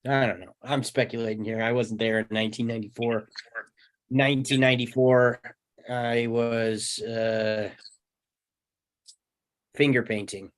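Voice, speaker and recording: A man in his thirties talking casually in a group discussion, reflective and halting, with long pauses. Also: medium pitch, fairly even intonation. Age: 30s. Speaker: table read participant.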